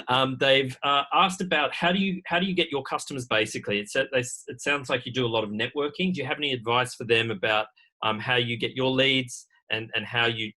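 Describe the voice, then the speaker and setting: A man moderating a live online panel Q&A over a video call, speaking in an informal, halting way. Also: medium pitch, bright, forceful tone. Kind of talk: hosting a live webinar Q&A.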